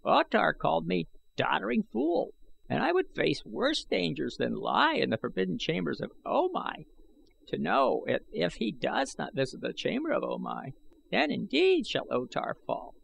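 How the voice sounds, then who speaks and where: A man's voice: on the high side, wildly swooping pitch, normal speed, creaky, fairly bright tone, a little hiss or hum, voice pushed up, heavily acted, rough recording. A man voicing a sarcastic, fearless old taxidermist in an adventure storybook. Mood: boastful, fearless. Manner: taunting, stubbornly fearless. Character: sarcastic, defiant old male taxidermist of an alien city.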